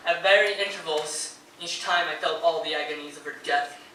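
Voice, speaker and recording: A man's voice: a little high, steady tone, a little fast, sharp tone. A man playing a grief-stricken, nervous poet mourning his wife, reading fervently and theatrically on stage. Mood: rising anguish. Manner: fervent, declamatory, swelling into anguished outbursts. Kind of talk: theatrical dramatic reading of poetry and letters. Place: live performance in a radio station's performance room. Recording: very echoey live room, distant mic, faint noise.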